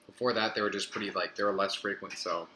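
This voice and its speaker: A man's voice: on the low side, steady tone, fast. A man in his early twenties chatting casually on a live stream, rambling between topics and answering viewer comments. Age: 20s. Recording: echoey room with background noise.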